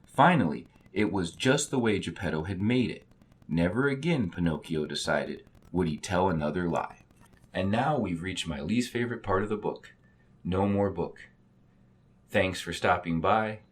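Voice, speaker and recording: A man's voice: deep voice, expressive, normal speed, slightly rough. A man narrating a children's story as a storybook reader.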